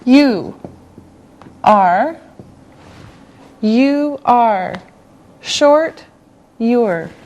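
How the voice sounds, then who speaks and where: Woman, English teacher, slightly high voice, very slow and deliberate delivery, patient and encouraging. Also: animated, darkish tone. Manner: very slow and deliberate, one word at a time, with repetition. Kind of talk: scripted language lesson for beginners.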